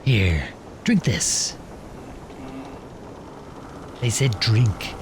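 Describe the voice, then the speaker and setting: A man playing a gruff but caring pirate captain in an audio roleplay, commanding and full of salty slang. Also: fairly low pitch, lively intonation, extremely slow, noisy background. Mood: concerned, firm. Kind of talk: ASMR roleplay audio drama.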